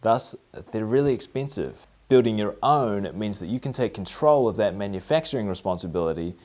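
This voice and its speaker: A man in his thirties with a New Zealand accent talks to camera from his garage workshop. His voice sits a little low, and he explains with upbeat energy and lively hand gestures. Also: a little fast. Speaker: maker video host. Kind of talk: explanatory maker vlog. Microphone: camera at mid-distance, framed from the waist up.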